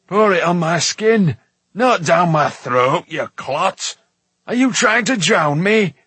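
An irascible little dwarf stuck in the body of a frog, played by a male audiobook reader. He snaps and blusters with scorn in a storybook style. The voice is husky and recorded very dry. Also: high-pitched, animated, takes it slowly, fairly bright tone, no room sound, pitched above the performer's own voice, exaggerated voice. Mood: frantic, angry. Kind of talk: audiobook character voice.

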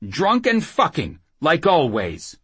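A man's voice: fairly high pitch, huge pitch swings, slow, slightly rough, no echo, pitched above the performer's own voice, heavily acted. A man voicing a frightened brothel keeper in an audiobook, babbling breathlessly and pleading with feeble, sour defiance. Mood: needling. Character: frightened whoremaster, rambling with feeble rage and foul-mouthed pleading.

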